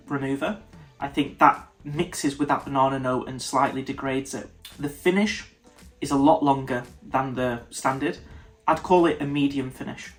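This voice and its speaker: A man with a congested, nasal voice, giving a casual whisky tasting review and often stopping to restart his sentences. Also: medium pitch. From United Kingdom. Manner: thoughtful, stops and restarts mid-sentence.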